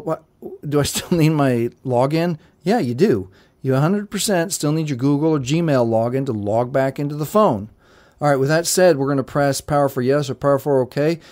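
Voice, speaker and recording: A man's voice: a little high, a little mellow. A man hosting a tech tutorial, talking the viewer step by step through resetting a phone in a direct, repetitive way. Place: indoors at a table, phone held to camera.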